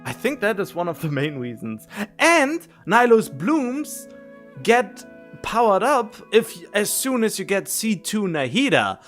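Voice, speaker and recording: A man's voice: high-pitched, very animated, unhurried, fairly bright tone, faint music behind. A man livestreaming a video game, chatting casually and thinking aloud in a rambling, musing way, often correcting himself.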